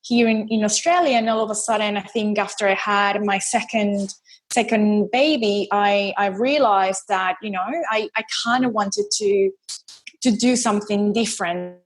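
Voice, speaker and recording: A woman's voice: medium pitch, moderate pace, low-quality recording. A woman in her thirties from Venezuela with a Spanish accent, chatting as a podcast guest with bubbly energy and many fillers. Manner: bubbly, laughs often, frequent fillers and repeated words. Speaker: podcast guest, business strategist. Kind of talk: casual interview chat.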